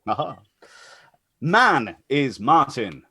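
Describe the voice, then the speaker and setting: A man introduces a live stream in a loose, chatty way. His pitch is average but moves around in a lively fashion, and he wanders as he explains. The sound is fairly dry, with little room on it. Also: extremely slow, husky, no echo. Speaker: host and announcer. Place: online group call.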